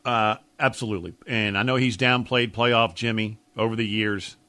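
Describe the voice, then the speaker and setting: An American man talks sports as a radio co-host in a fairly low voice. His delivery is casual and opinionated, recorded close and dry. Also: steady tone, moderate pace, sharp tone, no room sound. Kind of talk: casual sports talk and analysis.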